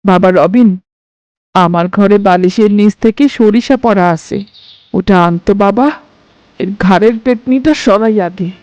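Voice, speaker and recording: A woman's voice: mid-range voice, unhurried, a little mellow, lo-fi sound, natural voice. A woman voicing a stern, suspicious middle-aged mother-in-law in a cartoon, scolding and commanding. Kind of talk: Bengali cartoon voice acting.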